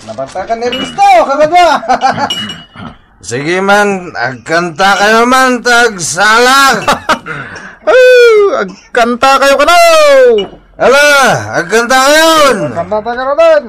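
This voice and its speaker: Very high-pitched rowdy merrymaker. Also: male voice, huge pitch swings, takes it slowly, long pauses, background noise, exaggerated voice. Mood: rowdy, gleeful. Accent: Ilocano. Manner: chanting, whooping, rowdy. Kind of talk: Ilocano comedy radio drama acting. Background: music.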